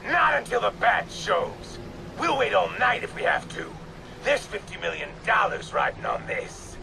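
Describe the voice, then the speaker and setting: A man's voice: high-pitched, very animated, moderate pace, creaky, noisy background, exaggerated voice. A man playing a cold, threatening arsonist villain in a video game, heard over a radio channel. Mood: menacing. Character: menacing male arsonist villain with jetpack and flamethrower.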